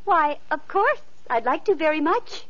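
A gentle, well-mannered young girl from a 1940s radio fairy tale, high-pitched and lively in her pitch. The broadcast sounds muffled and old. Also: moderate pace. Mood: sweet. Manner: sweet, polite and eager. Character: gentle kind-hearted young girl, mistreated sister.

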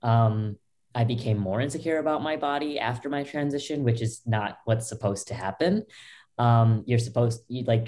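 A young woman with a low voice, a podcast guest telling her own story in loose talk full of fillers and restarts, over a video call from a small bedroom. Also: dark tone. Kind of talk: candid personal story. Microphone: laptop webcam, video call.

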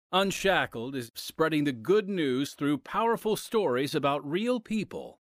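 Animated male announcer, dry studio sound. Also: medium pitch, dry recording. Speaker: announcer and host. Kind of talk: scripted radio announcement.

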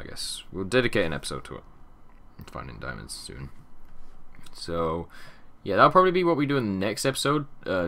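A young man with a deep voice and a sore throat, chatting casually over gameplay in a rambling way that often trails off into mumbles. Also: vocal fry, sharp tone. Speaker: gaming channel host. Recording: audible background noise and keyboard clicks.

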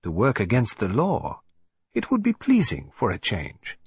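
A man's voice: on the low side, wildly swooping pitch, a little slow, breathy edge, dry recording, exaggerated voice. A man voicing a fussy, vain older male detective with a put-on French accent, in a precise, self-satisfied manner. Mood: musing. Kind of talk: audiobook reading.

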